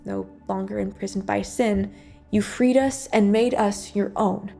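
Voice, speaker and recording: Female spoken-word reader for a church monologue: measured, dramatic phrasing, medium pitch, music underneath. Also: steady tone, vocal fry, warm tone. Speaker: church worship speaker. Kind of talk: scripted spoken-word monologue, rhymed devotional poetry. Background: music behind the voice.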